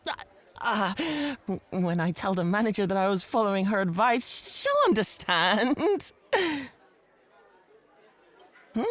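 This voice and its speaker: A woman's voice: mid-range voice, big pitch swings, normal speed, fairly bright tone, dry recording, a faint crowd. A woman playing a possessive, coy club host in an ASMR roleplay, teasing and easily flustered, with theatrical phrasing.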